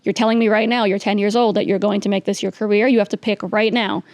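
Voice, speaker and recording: A woman's voice: medium pitch, level tone, quick pace, darkish tone, dry recording. Young woman guest from New York City, casual storyteller with lots of fillers, dry close mic. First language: Russian. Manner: chatty, laughs often, many fillers. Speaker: podcast guest. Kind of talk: casual interview chat.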